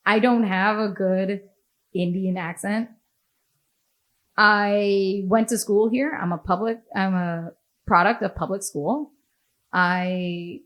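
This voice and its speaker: An American woman hosts a casual podcast chat. She talks bluntly, swears freely and breaks into laughter often. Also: mid-range voice. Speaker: podcast host.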